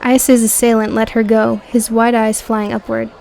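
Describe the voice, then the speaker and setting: A woman's voice: on the high side, flat delivery, moderate pace, vocal fry, darkish tone, some chatter behind. A woman reading scripted audio book narration as the narrator.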